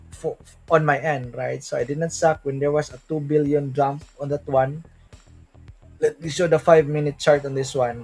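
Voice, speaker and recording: A man's voice: fairly high pitch, darkish tone, poor audio. A Filipino man hosting a casual livestream, rambling with many fillers and tag questions. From Philippines. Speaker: livestream host. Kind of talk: casual livestream crypto chat.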